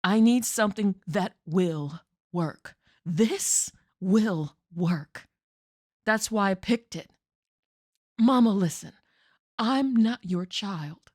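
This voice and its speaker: Actress as a resigned grown daughter, slow, low-voiced, dry close sound, quiet and pleading. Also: female voice, no room sound. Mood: firm, resolved. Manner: quiet, pleading, at times whispered. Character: despairing adult daughter, calm and resolved.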